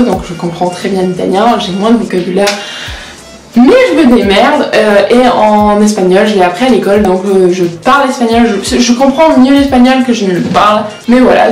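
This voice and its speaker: A woman's voice: mid-range voice, a little mellow. Candid young woman vlogging in a roomy space. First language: Portuguese. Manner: candid and self-mocking, with frequent hesitations. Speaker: vlogger and law student. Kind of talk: casual confessional vlog chat. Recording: roomy echo.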